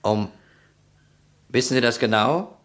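An actor playing a shrewd, sceptical investigator in a radio crime drama, dry and probing in manner. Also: male voice, medium pitch, takes it slowly, close to an everyday voice.

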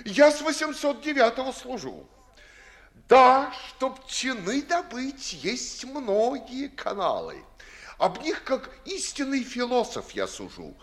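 A man's voice: very high voice, very animated, slow pace, fairly bright tone, heavily acted. A man playing a blustering, dim, self-satisfied army colonel in a 1950s radio verse comedy, declaiming bluntly and pompously.

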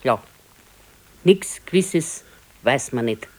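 A woman's voice: rumbling low, lively intonation, slow, a little mellow, heavily acted. A stoic elderly wife in a naturalistic radio drama. Her Bavarian-accented speech is terse and matter-of-fact, and she contradicts and scolds in a dry, unsentimental way. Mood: resigned. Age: older. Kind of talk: naturalistic radio play dialogue.

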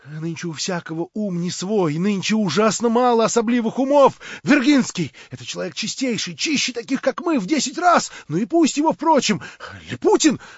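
A man's voice: on the high side, lively intonation, moderate pace, husky, no room sound. A man voicing a frantic young male conspirator who pleads breathlessly, half in a fever. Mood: feverish. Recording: dull lo-fi dry recording.

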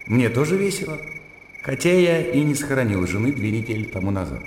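An actor playing an earnest, self-assured educated gentleman with a reflective, precise way of speaking in a classic radio drama. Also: medium pitch, expressive, a little fast, noisy background, natural voice. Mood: cool, ironic. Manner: reflective, precise, bookish phrasing. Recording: reverberant hall, music behind.

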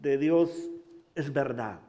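A man from Honduras preaching in Spanish with a Honduran accent, pausing for an interpreter and telling stories with animated humor. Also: mid-range voice, muffled recording. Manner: animated storytelling, laughs at himself. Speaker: pastor. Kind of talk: bilingual sermon with interpreter.

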